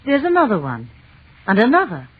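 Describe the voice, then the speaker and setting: Casting: spirited, bantering young-hearted wife, very animated intonation, old radio drama, narrow muffled sound. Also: female voice, a little high, brisk, slightly rough, full character voice. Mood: excited, awed. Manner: bantering, expressive. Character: spirited, affectionate sculptor wife, easily awed. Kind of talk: 1930s radio acting. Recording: muffled, noisy 1930s radio recording.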